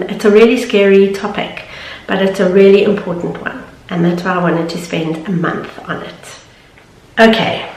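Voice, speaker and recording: A woman, slightly nasal from hay fever, talking earnestly and chattily to her viewers. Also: lowish voice. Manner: chatty, earnest, laughs easily. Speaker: vlogger and confidence coach. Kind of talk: casual talk to camera. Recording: echoey room.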